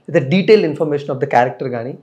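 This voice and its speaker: A man's voice: on the high side, lively intonation, moderate pace, a little mellow. A man interviewing a guest, asking questions politely in Telugu with English phrases. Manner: polite, addresses guest as ma'am.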